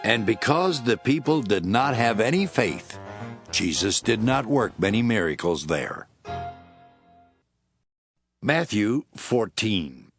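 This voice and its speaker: A man narrating scripture from a script in a measured storytelling manner. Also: fairly low pitch, sing-song, faint music behind.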